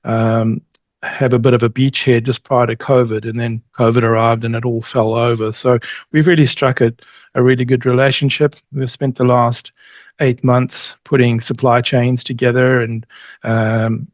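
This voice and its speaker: Interview guest: middle-aged male, medium pitch, halting casual speech, dull dry recording. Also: little pitch movement, no room sound. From born in Zimbabwe, grew up in South Africa, long settled in New Zealand. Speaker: interview guest, company representative.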